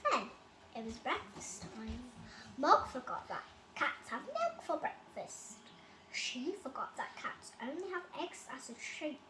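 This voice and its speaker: A high-pitched child reads a bedtime story aloud. The delivery is slow and dramatic, with a very animated melody. The sound comes from an echoey room. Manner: expressive, dramatic reading. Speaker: storybook reader. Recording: roomy echo, loud enough background noise.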